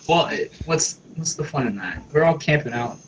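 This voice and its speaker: A man's voice: fairly high pitch, expressive, a little fast, a loud crowd, poor audio. A man bantering casually and swearing freely as a player in a tabletop roleplaying game.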